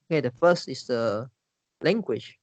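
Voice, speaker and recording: A man's voice: mid-range voice, animated, dry recording. Malaysian english guy leading a chat, mid voice, lots of restarts, dry close mic. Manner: halting, many restarts and fillers. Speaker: discussion leader. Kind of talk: casual discussion.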